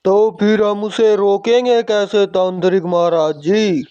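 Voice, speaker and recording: A man's voice: sits high, fairly even intonation, measured pace, warm tone, smooth, dry recording. A man voicing a worried male village leader in a storybook horror cartoon, speaking with alarmed exclamations. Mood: anxious. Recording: dry, dull lo-fi sound.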